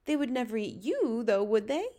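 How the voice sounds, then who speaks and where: A woman's voice: on the high side, very animated, normal speed, mellow. A woman voicing a polite, apprehensive young girl in a plain-spoken storybook read-aloud voice. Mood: anxious.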